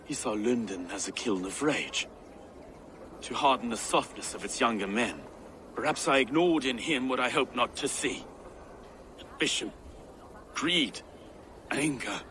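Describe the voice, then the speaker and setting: Wary troubled soldier in a game, earnest, forceful mid voice, bit of noise. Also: male voice, medium pitch. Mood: troubled, grave. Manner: earnest, deliberate phrasing. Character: grave, wary soldierly ally, troubled but steadfast. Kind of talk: dramatic video game acting.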